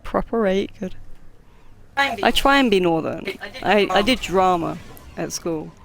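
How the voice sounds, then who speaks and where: A woman's voice: medium pitch, expressive, a little slow, creaky, crowd noise. A woman streaming a game and chatting casually as host, laughing often and poking fun at herself. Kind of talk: casual chat while gaming.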